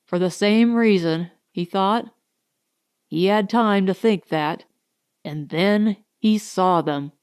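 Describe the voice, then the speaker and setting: Slow, suspenseful woman narrator, dry sound. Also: medium pitch, darkish tone, smooth, no room sound. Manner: deliberate, suspenseful, short weighted phrases. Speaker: audiobook narrator. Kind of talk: scripted dramatic narration of a science fiction story.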